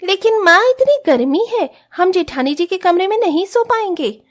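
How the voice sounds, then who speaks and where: A woman's voice: very high voice, sing-song, moderate pace. A woman voicing a spoiled, haughty young rich daughter-in-law in a cartoon family drama, whiny and defiant. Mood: whiny complaining. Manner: whiny, complaining.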